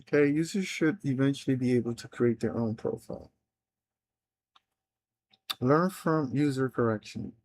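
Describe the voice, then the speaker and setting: A man's voice: medium pitch, a little mellow. A man whose first language is Spanish, streaming live as a developer, reading plans aloud and thinking through them with frequent restarts and trailing phrases. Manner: halting, reads plans aloud with many restarts.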